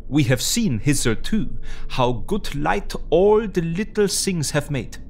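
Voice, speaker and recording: An actor playing a grave, courteous foreign professor who hunts vampires, speaking formally and solemnly in an audio drama. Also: male voice, fairly high pitch, sing-song, slow, slight background noise, full character voice. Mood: warmly urging. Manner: formal, solemn, quaintly phrased.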